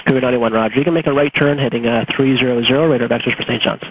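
A man's voice: medium pitch, steady tone, racing. A man working as an air traffic controller, giving instructions over aviation radio. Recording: faintly noisy air traffic radio recording.

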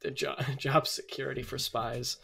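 Creaky-voiced young man chatting. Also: medium pitch, little pitch movement, normal speed, pushed and bright. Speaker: podcast co-host. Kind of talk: casual chat, playful debate.

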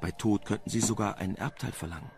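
Casting: wry, teasing male investigator played by an actor, low-pitched with vocal fry, for a crime audio drama. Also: fast talker, a little mellow, soft background music, lightly acted. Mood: matter-of-fact. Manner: sardonic, teasing. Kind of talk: German crime radio play acting.

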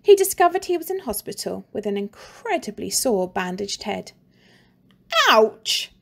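A woman teacher reading a children's story aloud in a slow, expressive and playful way. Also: mid-range voice, gravelly.

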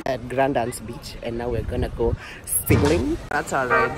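A woman's voice: very deep voice, moderate pace. A young woman chatting casually to her phone camera as she narrates her day as a student. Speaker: vlogger and student. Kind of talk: casual vlog chat. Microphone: phone camera held by hand. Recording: loud music and crowd behind.

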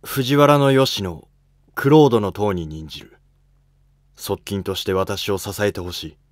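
Man playing dignified low-voiced emperor. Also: lively intonation, a little slow, no echo, clean studio sound. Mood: commanding. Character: reigning male emperor, dignified, considerate ruler. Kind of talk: amateur historical drama dialogue.

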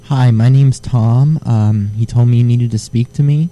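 A man's voice: medium pitch, steady tone, moderate pace, mellow, natural voice. A man speaking as a second person in the house, a roommate named Tom, in a chirpy, falsely polite tone.

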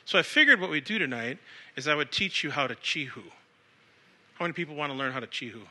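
Male speaker, 40s, from Samoa, raised in the US; sharp, tense tone, upbeat and crowd-leading. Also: on the high side, expressive, fast. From born in Samoa, raised in the United States. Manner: upbeat, casual, leads the crowd. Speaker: speaker at an education event. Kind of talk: live talk to an audience.

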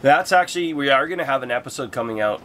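A man's voice: mid-range voice, expressive, fast, fairly bright tone, slight background noise. A man from Canada with a Canadian accent, co-hosting a vlog in casual chat. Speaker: co-host of a sailing vlog. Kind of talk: casual chat, answering viewer questions.

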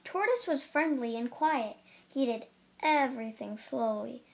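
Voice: mid-range voice, animated, a little slow, creaky. A young reader reading a children's picture book aloud, carefully, with the occasional stumble. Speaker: storybook reader.

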